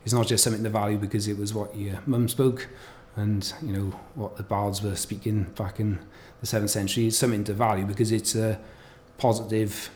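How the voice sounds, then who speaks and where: Low-voiced man, reflective co-host, measured with fillers; faint background hiss. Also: little pitch movement, a little mellow. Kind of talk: casual academic discussion.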